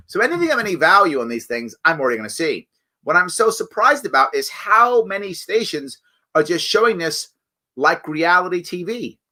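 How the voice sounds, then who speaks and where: A man hosting a live stream, talking casually and reading viewer comments, his voice raspy and a bit hoarse from weeks of campaigning. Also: a little high. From New York State. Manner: conversational, animated, frequent fillers and restarts. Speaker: show host.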